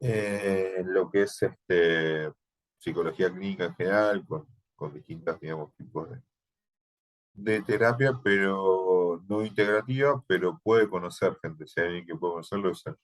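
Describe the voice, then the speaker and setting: A man with an Argentine accent leads a thesis class over a video call. His voice sits very low, and he hesitates often, stacking fillers as he gives feedback to students. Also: normal speed. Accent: Argentine Spanish. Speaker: thesis workshop teacher. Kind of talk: online class, thesis advising.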